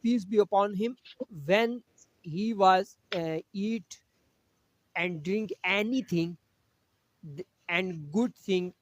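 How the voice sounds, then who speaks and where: A man from Pakistan whose first language is Urdu, teaching a Quran lesson over a video call in halting English with many pauses. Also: sits high, no room sound. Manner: halting English with frequent pauses and throat-clearing.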